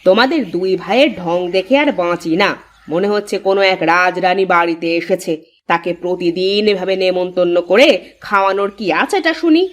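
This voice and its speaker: A woman voicing a sharp-tongued, resentful young village wife in a cartoon, scornful and complaining. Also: lowish voice, moderate pace, natural voice. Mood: scornful, grudging. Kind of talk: cartoon voice acting.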